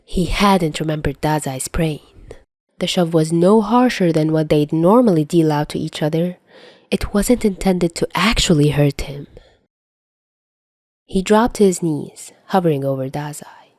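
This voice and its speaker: A woman narrates a piece of fiction in a fairly low voice. The delivery is scripted storytelling, recorded dry with no room sound. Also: a little slow, darkish tone, no room sound. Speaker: podfic narrator.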